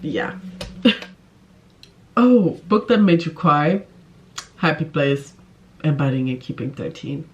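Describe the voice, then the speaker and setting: A woman's voice: low voice, expressive, slow, pauses often, darkish tone, dull audio. A woman book vlogger chatting casually to camera in a gushing, giggly, emphatic way.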